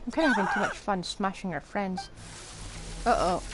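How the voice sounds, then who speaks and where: A woman's voice: mid-range voice, big pitch swings, normal speed, poor audio. A woman game streamer giving casual, offhand, wry commentary while playing. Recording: loud game music behind voice. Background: loud video game music.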